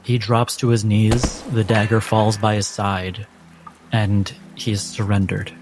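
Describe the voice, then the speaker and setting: A man running a tabletop game as game master, switching between rules talk and deliberate, dramatic narration. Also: lowish voice, flat delivery. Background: background music.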